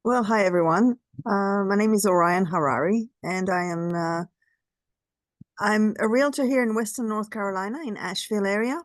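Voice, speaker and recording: A woman's voice: medium pitch, moderate pace, no echo. A middle-aged woman with a French accent, a realtor, chatting candidly on a video call and searching for words now and then. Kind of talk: casual meeting conversation.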